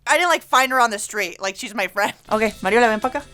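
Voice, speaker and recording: A woman's voice: fairly high pitch, rapid-fire, pushed and bright. A young woman with a Venezuelan accent hosting a comedic rant, joking and sarcastic with lots of "like". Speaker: comedy web show host.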